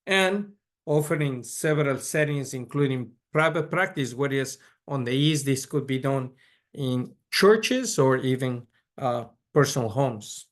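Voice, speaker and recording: Measured man lecturing. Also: mid-range voice. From Ecuador. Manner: measured, frequent restarts and repeated words. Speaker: pediatric neurologist lecturer. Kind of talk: conference lecture.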